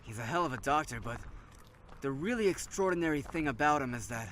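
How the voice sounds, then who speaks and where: A man's voice: mid-range voice, noisy background, natural voice. An actor playing a wry, worried man of twenty-nine surviving a zombie apocalypse in a conversational audio drama. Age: 20s.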